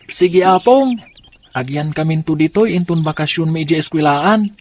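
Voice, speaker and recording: A woman's voice: deep voice, animated, normal speed, darkish tone. A woman acting an earnest young grandchild in a radio drama, with an Ilocano accent. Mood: cheerful.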